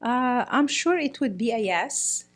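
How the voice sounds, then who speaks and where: A middle-aged woman from Egypt, a guest speaking in a casual interview, often pausing with fillers and restarting her sentences. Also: fairly high pitch, animated, faint music behind. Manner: hesitant, many fillers and restarts. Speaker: guest, priest's wife.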